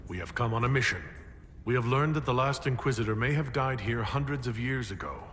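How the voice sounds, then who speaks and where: An actor playing a composed, courteous leader in a fantasy video game, asking questions in a measured, businesslike way. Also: male voice, medium pitch, lively intonation, a bit bright, long reverb tail, soft background music, close to an everyday voice. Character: composed male leader of an inquisition, courteous and purposeful. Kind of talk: video game dialogue acting.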